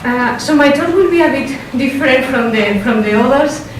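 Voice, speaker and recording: A woman with a strong Spanish accent gives an academic talk in a lecture room, measured and careful, with hesitant fillers when she leaves her script. Her voice sits a little high and comes from a distance. The room is very echoey and the recording carries clear noise. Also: lively intonation. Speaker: philosopher giving a workshop talk. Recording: echoey lecture hall, mic from a distance, noisy.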